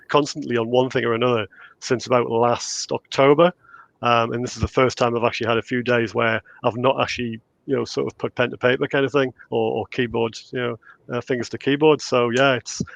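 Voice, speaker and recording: A man's voice: medium pitch, gravelly, no room sound, rough recording. A man in his fifties with a Geordie accent, a guest author chatting in an interview and hesitating with lots of fillers. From England. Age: 50s. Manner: hesitant, many fillers and restarts. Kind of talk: casual interview chat.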